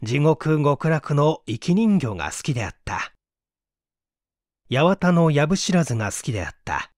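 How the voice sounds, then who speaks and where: A man's voice: a little high, very animated, normal speed, a little mellow, no room sound, clean studio sound. A man narrating a literary story as a dramatic reader.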